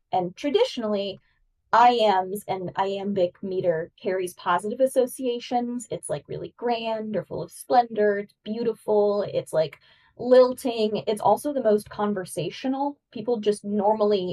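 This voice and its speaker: Brief: enthusiastic female podcast co-host, poetry nerd, mid-pitched voice, recorded slightly off-mic. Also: darkish tone, far from the mic, poor audio. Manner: enthusiastic, explanatory, nerdy about poetry. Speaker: guest host. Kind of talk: podcast discussion.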